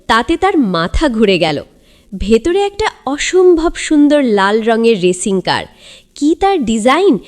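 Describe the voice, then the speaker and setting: A woman narrating a children's story in a theatrical, suspenseful, scripted style. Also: on the high side.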